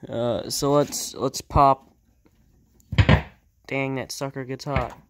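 A man's voice: medium pitch, flat delivery, slow. A man giving a casual, off-the-cuff hands-on demonstration as a hobbyist, speaking indoors into a handheld camera. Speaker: vintage radio hobbyist. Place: indoors in a cluttered workshop. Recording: music playing loudly behind.

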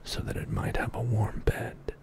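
A middle-aged man reading a bedtime story as ASMR in a soft, breathy whisper, hushed and soothing, close to the microphone in a small indoor studio. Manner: slow, hushed, soothing narration.

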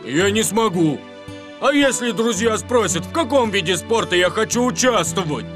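Timid high-voiced road roller. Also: male voice, lively intonation, moderate pace. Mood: dejected. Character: timid, self-doubting, good-hearted road roller vehicle. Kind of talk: Russian cartoon dub. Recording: music behind.

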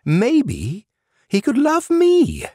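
A tearful, lovesick sleep demon, as a man puts him on, wailing in a high, quavering voice that swings up and down. He sounds desperate and eager, and the recording is dry and close. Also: wildly swooping pitch, drawn-out words, warm tone, no echo, heavily acted. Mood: pleading. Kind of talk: audiobook character voice.

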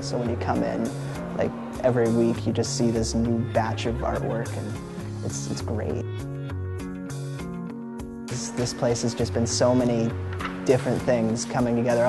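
A man's voice: mid-range voice, vocal fry. A man from Alaska, an art student talking in a laid-back, upbeat way in an interview testimonial. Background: music.

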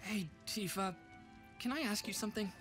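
A man's voice: high voice, moderate pace, loud music behind the voice, natural voice. A man voicing an awkward, sarcastic and slightly dim young male mercenary in a comedic game parody. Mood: awkward, earnest.

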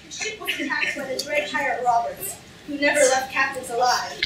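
A woman's voice: sits high, normal speed, close to an everyday voice. A woman playing a patient storyteller reading a book aloud in a staged reading. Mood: narrating. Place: lecture hall stage, indoors, recorded from audience. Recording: echoey hall from far off, noisy audience room.

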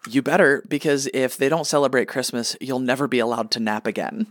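A man's voice: mid-range voice, fairly even intonation, brisk, a bit bright, dry recording, lightly acted. A man playing a petty, smug god with a wry, casual manner in a comedic radio play. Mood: petty, irritated. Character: petty, smug male god, easily annoyed, deadpan.

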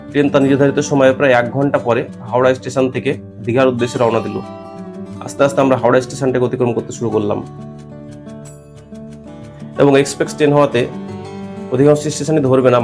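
Guy narrating his train trip, talks quick like a tour guide, guitar music playing under him. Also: mid-range voice. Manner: fast, informative tour-guide telling. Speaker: travel vlogger. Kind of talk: travel narration voice-over. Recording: music bed under voice-over.

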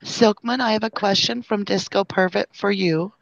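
A woman's voice: medium pitch, fairly even intonation, moderate pace, vocal fry, slight background noise. A woman hosting a live chat discussion, reading out questions and passing them to the panel. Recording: dull lo-fi online call audio.

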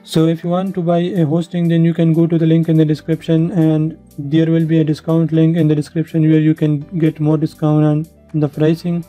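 Very dark-voiced man narrating a tutorial. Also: a little high, monotone, brisk, hardly any pauses. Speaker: tutorial presenter. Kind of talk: instructional screen-share narration. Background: background music.

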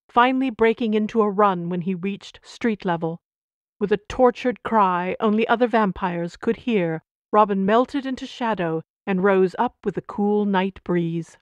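A woman's voice: medium pitch, lo-fi sound. Mid-Atlantic woman author. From Wales and Arizona. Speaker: author reading and discussing own novel.

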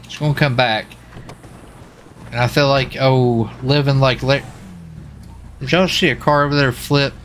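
A man's voice: mid-range voice, steady tone, measured pace, pauses often, a bit bright, a little hiss or hum. Folksy American man chatting in game roleplay. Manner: folksy, slangy. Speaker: streamer playing a police marshal in an online roleplay game. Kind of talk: casual in-game roleplay chat.

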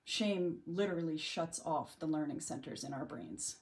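A woman leading a guided meditation in a gentle, unhurried manner with long pauses. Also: deep voice, lively intonation. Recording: music bed under the voice. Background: background music.

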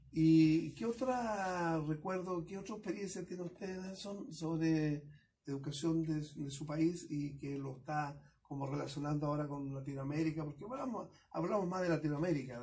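Man, Chilean accent, radio host, casual conversation, storytelling, slightly high voice. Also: a little mellow.

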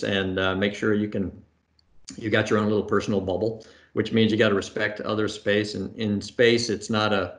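A middle-aged man answering questions in a relaxed conversational way, pausing often with fillers and restarting phrases, over a video call from a room at home. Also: on the low side. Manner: thoughtful, many fillers and restarts. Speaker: astronaut guest. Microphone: video call webcam.

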